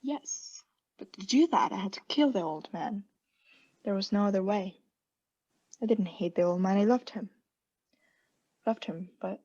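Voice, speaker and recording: A woman playing a mad condemned murderess in a radio play, intense and halting, often sinking into breathy hushed tones as she insists she is sane. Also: medium pitch, highs cut off. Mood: obsessive. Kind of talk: theatrical radio play monologue.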